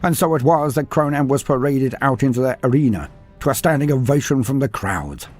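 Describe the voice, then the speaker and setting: Husky man narrating with wry lilt. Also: mid-range voice, lively intonation, brisk, a little mellow, soft background music. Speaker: storyteller. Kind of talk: scripted fantasy narration.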